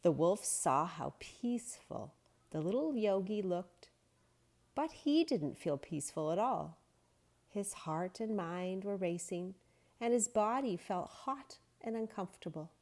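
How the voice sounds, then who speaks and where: Woman reading to kids aloud, slow and gentle, very lively pitch. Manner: gentle, expressive, unhurried. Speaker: storybook reader. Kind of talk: children's story read-aloud.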